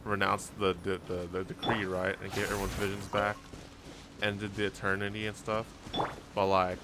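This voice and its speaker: A young man giving casual live gameplay commentary as a streamer, informal and halting as he thinks aloud. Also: low-pitched, monotone. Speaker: gaming streamer. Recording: noisy stream with game sound. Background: game ambience.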